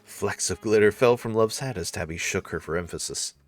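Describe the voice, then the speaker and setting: A woman's voice: rumbling low, brisk, loud music behind the voice. A woman narrating a visual novel in a scripted storytelling style.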